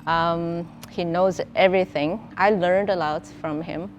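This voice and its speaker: A woman's voice: on the low side, steady tone, unhurried, lo-fi sound, slight background noise. A middle-aged woman from China, speaking in an earnest, plain way with small grammar slips in a documentary interview. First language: Chinese. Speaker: co-founder of a clothing brand. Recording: interview with music playing underneath.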